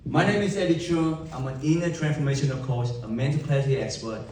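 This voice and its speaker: A young man with a Vietnamese accent giving an emphatic motivational keynote to a live audience, with dramatic pauses and rhetorical questions. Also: mid-range voice, darkish tone, a little hiss or hum. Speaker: motivational speaker. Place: large event hall. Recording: echoey hall from far off.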